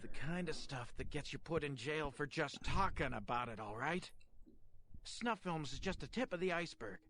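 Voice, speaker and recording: An actor playing a sleazy, cagey porn shop owner in a video game, with brash, slangy street talk. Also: male voice, fairly high pitch, lively intonation, moderate pace, fairly bright tone, a little hiss or hum. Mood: wary, warning.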